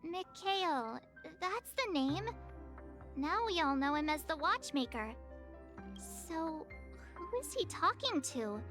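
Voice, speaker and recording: A woman's voice: very high voice, very animated, takes it slowly, a bit bright, soft background music, heavily acted. A woman voicing a bubbly, inquisitive teenage girl in a video game, asking questions eagerly. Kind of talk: scripted game voice acting.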